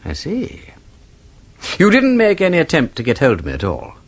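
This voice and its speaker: An actor playing a shrewd, suave gentleman detective in a radio mystery, urbane and drily polite as he probes. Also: on the high side, huge pitch swings, moderate pace, gravelly, breathy edge, exaggerated voice. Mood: feigned casualness. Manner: urbane, probing, drily polite, feigning casualness.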